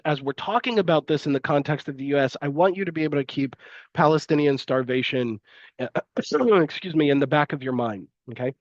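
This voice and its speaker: A man's voice: fairly high pitch, dry recording, muffled recording. A man with a cold, sniffly and congested, giving a live-streamed university lecture with frequent fillers and restarts. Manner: hesitant, many fillers and restarts.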